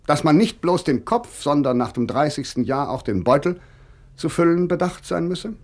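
An actor playing an earnest, wry male writer in a radio drama, reading his letters in a measured, expressive, literary way. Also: a little high. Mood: wistful.

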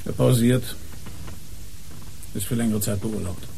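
A man's voice: fairly low pitch, a little slow, gravelly, mellow. A man playing a cocky, unflinching police inspector with a dry, curt manner in a radio play. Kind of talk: radio drama acting. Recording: noisy background ambience.

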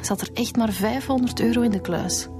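An actress with a Flemish accent playing a persistent, businesslike police inspector in a naturalistic radio drama, polite but firm. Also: female voice, a little high, normal speed, over music, close to an everyday voice. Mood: polite, probing.

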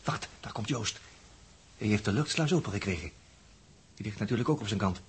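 An actor playing an excitable, anxious male astronaut in an urgent, exclamatory 1960s Dutch radio drama style. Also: lowish voice, a little fast, husky, heavily acted. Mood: subdued wonder. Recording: noisy early-1960s radio drama recording.

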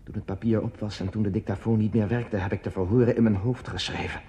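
Man acting a low-voiced, brooding border guard. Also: fairly even intonation, warm tone, lightly acted. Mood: weary, resigned. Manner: brooding, terse, deliberate. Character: lonely war-worn border guard commander, bleak and resigned. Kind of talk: introspective radio play monologue.

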